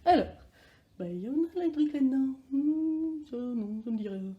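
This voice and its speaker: A woman playing a childish, excitable, self-pitying character who talks to themselves in slang in a playful children's sketch. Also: high voice, moderate pace, roomy sound, phone-quality sound. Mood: busy, curious. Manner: exaggerated, slangy, talking to self.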